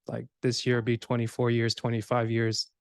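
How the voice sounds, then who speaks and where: Male podcast host, informal and rambling, narrow pitch range, very dry recording. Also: monotone, normal speed, dry recording. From Cameroon, grew up in Georgia, USA. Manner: informal, rambling, acts out imagined remarks. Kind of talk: casual podcast conversation.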